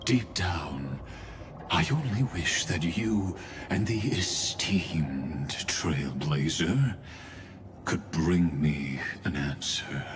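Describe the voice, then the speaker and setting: Slow, deep, cryptic schemer. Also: male voice, noisy background, heavily acted. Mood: measured, brooding. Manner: slow, deliberate, ominous pauses. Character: grave, cryptic male schemer delivering solemn prophecy. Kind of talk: dramatic video game voice acting. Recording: music behind the voice. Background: game music.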